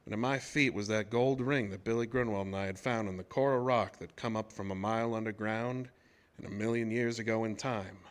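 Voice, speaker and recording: An actor playing a rough-spoken oil rig worker telling his own eerie tale in a colloquial, old-time radio horror monologue. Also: fairly low pitch, fairly even intonation, fairly quick, close to an everyday voice. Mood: uneasy, haunted. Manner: colloquial, folksy, haunted storyteller.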